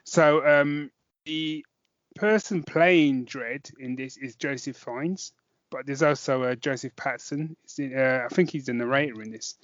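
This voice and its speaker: A British man in middle age talks as a podcast co-host, informal and inclined to ramble. His pitch is mid-range. The sound is dry and close, with a slightly dull top end. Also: measured pace, no echo. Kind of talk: casual chat podcast review.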